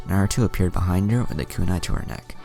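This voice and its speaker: A man's voice: low voice, fast, vocal fry, music in the background. A man reading a scripted fan fiction story aloud, narration and dialogue alike.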